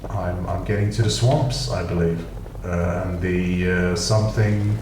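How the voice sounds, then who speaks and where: A man's voice: sits low, fairly even intonation, measured pace. A man with a British accent giving casual, rambling gameplay commentary with dry, self-mocking asides. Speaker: gaming channel host. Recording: echoey room, distant mic, noisy.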